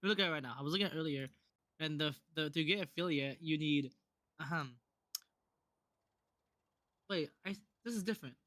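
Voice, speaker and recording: A young man chats with friends during a game stream, explaining in halting bursts full of restarts. His voice is fairly high and bright, and it sounds dry and close to the mic. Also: dry recording. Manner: quick, hesitant explaining with restarts. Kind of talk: casual chat while gaming.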